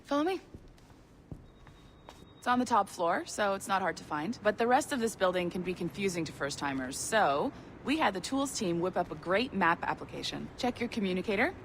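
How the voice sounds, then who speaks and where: A woman acts a chirpy, friendly company guide in a video game. Her mid-pitched voice moves around a lot as she chats helpfully, over faint ambience. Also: animated, normal speed, a little hiss or hum, natural voice. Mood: friendly, upbeat. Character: perky friendly office manager woman welcoming newcomer.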